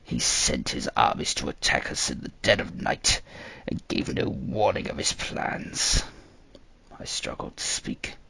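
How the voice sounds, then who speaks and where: Zaxl, a gravelly, embittered ancient survivor. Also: male voice, very deep voice, expressive, bright, forceful tone, dry recording, voice pushed down, full character voice. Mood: grim, pained. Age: very old. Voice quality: raspy, strained, breathy. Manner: slow, grave, labored. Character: Zaxl, embittered old survivor of a slaughtered fantasy people.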